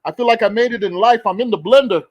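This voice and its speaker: A middle-aged man from the Caribbean, a guest who tells stories in a relaxed, talkative way on a casual remote podcast chat. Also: sits high, fast talker, no room sound. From the Caribbean; born in the Bahamas, grew up in Barbados.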